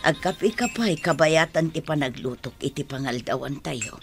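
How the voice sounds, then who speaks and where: A woman's voice: deep voice, animated, normal speed, music in the background. A woman with an Ilocano Filipino accent playing a caring, worried older mother and grandmother in a radio drama, coaxing and soothing. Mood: gentle reassurance.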